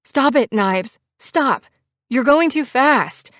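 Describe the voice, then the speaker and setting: A defiant, distraught woman acted by a female narrator in an audiobook. Her raised voice protests with strong emotion, climbing above a calm talking pitch, in a very dry recording. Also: takes it slowly, no room sound, full character voice. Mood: panicked.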